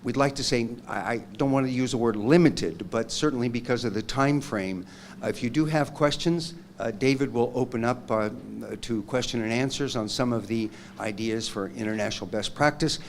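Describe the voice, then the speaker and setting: A man's voice: mid-range voice, normal speed, a little hiss or hum. Hesitant man chairing a meeting. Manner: hesitant, with many ums and uhs. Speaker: session chair. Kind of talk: formal meeting announcements.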